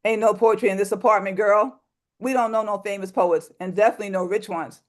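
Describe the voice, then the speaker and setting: A woman's voice: mid-range voice, fairly even intonation, a little fast, natural voice. A woman voicing a tired, stern older grandmother with a Southern accent, scolding in folksy sayings.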